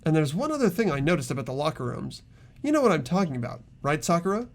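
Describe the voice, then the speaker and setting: Man playing an earnest, highly animated schoolboy detective. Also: fast talker. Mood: earnest, thoughtful. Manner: tentative, reasoning aloud. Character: earnest, reasoning teenage boy student detective. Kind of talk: amateur game dub acting.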